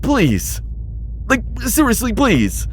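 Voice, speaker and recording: A man's voice: very high-pitched, wildly swooping pitch, slow, a little hiss or hum, full character voice. A man playing an anxious, pleading insectoid alien bounty hunter in a sci-fi audio roleplay, flustered, hesitant and formally wordy. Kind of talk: scripted audio roleplay addressed to listener.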